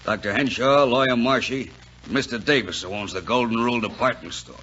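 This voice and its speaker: An actor, a man, playing a gruff, suspicious small-town police chief who barks orders in 1940s radio drama style. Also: medium pitch, brisk, sharp tone, slight background noise, close to an everyday voice. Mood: suspicious, sardonic. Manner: barking, commanding, sardonic. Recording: dull vintage 1940s radio broadcast.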